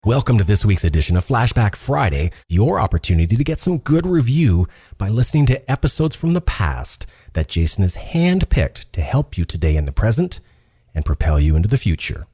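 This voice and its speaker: Deep, husky, animated male announcer. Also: brisk. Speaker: show intro announcer. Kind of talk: scripted announcement.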